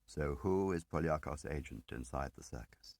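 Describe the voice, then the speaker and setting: A man reading the part of an ageing spymaster in an audiobook, deliberate and probing, pausing between phrases and pressing with questions. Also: rumbling low, animated, gravelly, a little mellow, no echo, heavily acted. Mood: probing, insistent. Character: ageing male spymaster, measured, probing, quietly relentless. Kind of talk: dramatic audiobook character reading.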